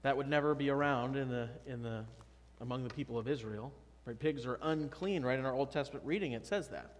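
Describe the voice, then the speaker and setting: Pastor in his thirties, casual emphatic preaching, mid-pitched voice, church reverb. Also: fairly quick. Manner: conversational, emphatic preaching with rhetorical questions. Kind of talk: sermon. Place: church sanctuary, at a pulpit. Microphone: pulpit microphone, far camera.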